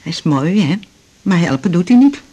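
Chatty, naive woman patient, radio drama: husky, animated intonation, rambling, mildly complaining. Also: on the low side, normal speed. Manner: rambling, matter-of-fact complaining.

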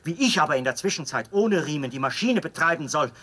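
An actor playing a zealous, pedantic execution officer in a radio drama, explaining with precise, emphatic delivery. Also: male voice, on the high side, expressive, quick pace, a bit bright. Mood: aggrieved, defensive. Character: zealous male execution officer, pedantic, devoted to his machine.

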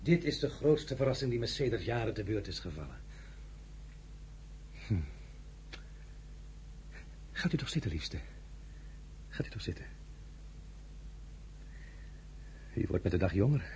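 A man's voice: on the low side, expressive, moderate pace, long pauses, a little mellow, a little hiss or hum, natural voice. Napoleon, swooping and grandiose played by a man. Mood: charming. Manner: grandiloquent, teasing, theatrical. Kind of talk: Dutch radio drama acting.

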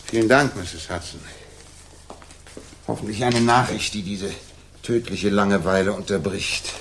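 An actor playing a restless, sharp-minded gentleman detective, theatrical and articulate, in a 1960s radio drama. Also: lowish voice, fairly even intonation, a little slow, background noise. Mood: eager.

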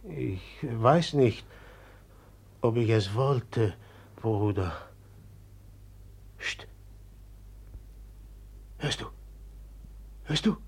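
A man's voice: medium pitch, animated, extremely slow, gravelly, long pauses, slightly rough, exaggerated voice. An actor in a 1950s radio drama playing a brooding, haunted young man who speaks fearfully and in whispers. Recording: old 1950s mono radio recording.

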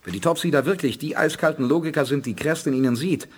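A man playing a confident, calculating spaceship commander with a husky voice in a science fiction radio drama. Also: on the high side, quick pace. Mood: determined. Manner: assured, explanatory.

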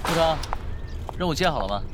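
A man's voice: fairly high pitch, lively intonation, fairly quick, background noise, close to an everyday voice. An actor playing a laid-back, teasing young man in a casual, understated audio drama style. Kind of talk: Chinese audio drama acting.

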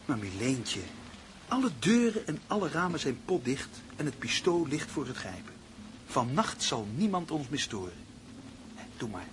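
A man acting as a cold, calculating male villain in a radio drama. His delivery swings widely in pitch, theatrical and deliberate, taunting with mock sweetness. The recording is old and slightly noisy. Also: sing-song, natural voice. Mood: mock cheerful. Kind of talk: Dutch radio play acting.

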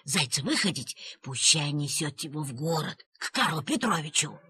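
A man's voice: on the high side, big pitch swings, moderate pace, bright, forceful tone, full character voice. A man voicing a kindly, pitying old peasant granny who mumbles in a folksy, tender way. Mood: pitying, soothing. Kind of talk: audio play acting. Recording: hissy old cassette transfer.

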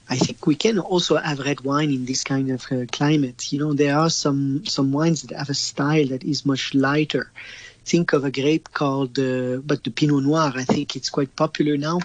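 A man's voice: mid-range voice, steady tone, normal speed, no echo. A man with a French accent, a wine expert chatting casually on radio, laughing often and restarting his sentences.

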